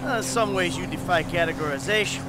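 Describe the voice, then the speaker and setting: A man acting as a middle-aged American career criminal in a video game, with a notably high voice. He is dry and sardonic, forever needling and arguing, over prominent music. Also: fairly quick, close to an everyday voice.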